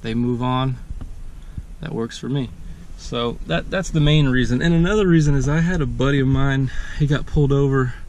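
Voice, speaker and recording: A man's voice: medium pitch, normal speed, darkish tone. An American man in his thirties chatting casually and laid-back, rambling as he explains things like a vlogger. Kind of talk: casual chat, explaining a DIY install. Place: in and beside a parked pickup truck. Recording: clear vehicle and outdoor ambient noise.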